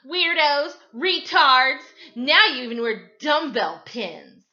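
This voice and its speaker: A woman's voice: high voice, very animated, slow pace, pushed and bright, muffled recording, pitched above the performer's own voice, heavily acted. A woman voicing a mean, mocking schoolboy bully from a storybook, with a taunting sneer.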